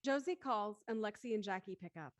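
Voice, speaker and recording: A woman's voice: mid-range voice, expressive, a little fast. A woman reading stage directions as scripted narration for a drama.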